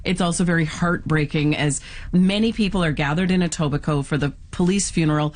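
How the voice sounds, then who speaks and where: A woman's voice: low voice, a little fast. A woman giving a live news report, chatty and full of fillers. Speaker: field reporter.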